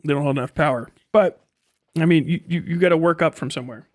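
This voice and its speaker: A man's voice: on the high side, fast talker, no echo, studio quality. A man chatting casually as a live streamer, rambling and sardonic, reading things aloud and swearing offhandedly.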